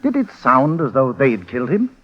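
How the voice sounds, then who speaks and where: An actor playing a fussy colonial constable who keeps exclaiming in shock, in a 1950s radio drama. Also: male voice, mid-range voice, big pitch swings, normal speed, a little hiss or hum, lightly acted. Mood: flustered. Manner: flustered, exclaiming. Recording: dull old radio broadcast.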